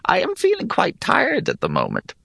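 A man voicing an ancient, sly hag in an audiobook fantasy tale, with a gravelly voice and a languid, mock-polite manner. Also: high voice, wildly swooping pitch, moderate pace, a bit bright, a little hiss or hum, pitched above the performer's own voice, heavily acted. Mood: bored, weary. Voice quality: creaky, gravelly. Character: ancient sly yawning hag, coolly dismissive. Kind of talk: audiobook character acting.

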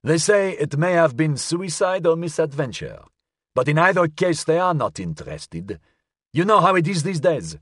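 Male narrator as elderly Frenchman, raised pitch, courteous, measured, formal, audiobook character. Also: sing-song, a bit bright, dry recording, studio quality. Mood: grave, precise. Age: older.

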